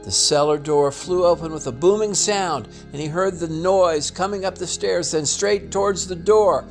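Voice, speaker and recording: A man reading a classic tale aloud as scripted narration, theatrical and deliberate in his delivery. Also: high-pitched, soft background music. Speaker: storybook reader.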